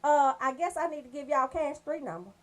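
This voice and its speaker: Woman, American accent, high-pitched voice, radio host, casual banter, slangy, joking. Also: animated, fairly quick. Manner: slangy, joking, bantering, addresses listeners directly. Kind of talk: casual chatty radio show promos.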